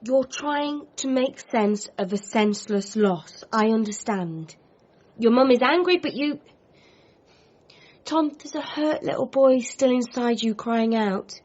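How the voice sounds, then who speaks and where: An earnest comforter in a radio comedy drama. Her voice is husky and soothing, with a counselling tone, on slightly muffled audio. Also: a little high, measured pace, darkish tone. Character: earnest, soothing woman comforting a bereaved man.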